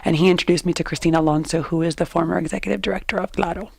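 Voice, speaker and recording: A woman's voice: lowish voice, fairly even intonation, racing, vocal fry, darkish tone, breathy edge, no echo. A woman from the United States, a nonprofit executive director, answering interview questions in an eager, chatty way with plenty of fillers.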